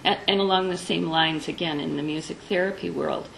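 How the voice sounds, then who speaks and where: A woman with a gravelly edge to her voice leads a group discussion, explaining ideas and passing the turn to others by name. Faint noise runs underneath. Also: lowish voice, fairly even intonation, a little fast, a bit bright, low-quality recording. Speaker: discussion leader.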